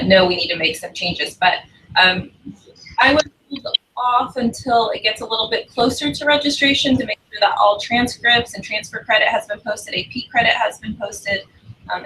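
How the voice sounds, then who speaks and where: A woman academic advisor explaining things to students on a livestream in a relaxed, hesitant way. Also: mid-range voice, recorded from a distance, slight background noise, poor audio. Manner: relaxed, hesitant, many fillers. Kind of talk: casual livestream talk to new students.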